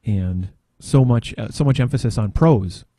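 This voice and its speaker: A man's voice: mid-range voice, moderate pace, warm tone, no echo. A man chatting casually on a podcast, hesitant and searching for his words. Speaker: podcast panelist. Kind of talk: casual chat.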